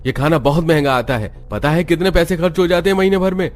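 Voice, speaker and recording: A man's voice: fairly high pitch, steady tone, quick pace, pitched above the performer's own voice, natural voice. A man voicing an exasperated but reasonable young husband in a cartoon, insistent and pleading.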